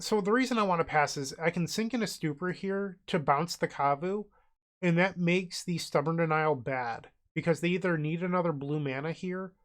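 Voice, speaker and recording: A man with a cold, sounding congested and nasal, casually narrating his online card game move by move as he thinks aloud. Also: on the high side. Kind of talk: casual gameplay commentary.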